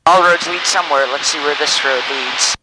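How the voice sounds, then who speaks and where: A man's voice: on the high side, normal speed. A man who is a student pilot, chatting casually through an aviation headset intercom as he flies. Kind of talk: casual chat while flying. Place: small airplane cockpit in flight. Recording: noisy cockpit intercom, engine drone.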